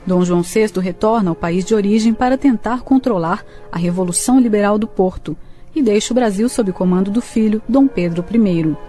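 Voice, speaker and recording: A Brazilian woman narrates a historical radio serial from a script. Her voice is medium in pitch and mellow, with soft music behind it. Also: brisk.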